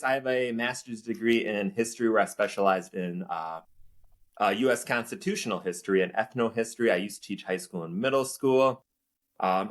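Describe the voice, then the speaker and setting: A man with a strong Minnesotan accent and a middle-pitched voice joins a podcast as a guest. He talks bluntly, slipping into rants and pushing back. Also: a bit bright. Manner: blunt, ranting, pushes back. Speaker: guest, political commentator and former teacher. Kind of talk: casual podcast interview.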